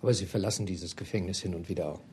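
A man interviewing in a formal, courteous manner, putting long, prepared questions in measured sentences. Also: low-pitched, fairly even intonation, dark tone, slight background noise.

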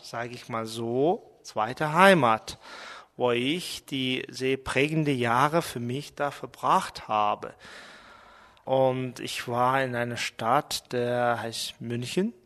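A middle-aged man from Mongolia, speaking with a Mongolian accent and talking thoughtfully about his life, pausing as he searches for words. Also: mid-range voice, a bit bright, big-room sound. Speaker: interviewee. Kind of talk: portrait interview, personal reflections.

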